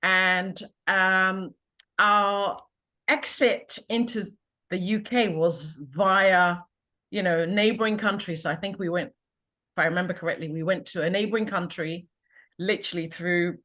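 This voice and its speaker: A woman's voice: fairly low pitch, steady tone, unhurried, sharp tone. A middle-aged woman from Ghana, a confidence coach, telling her story earnestly and reflectively in an interview over a remote call.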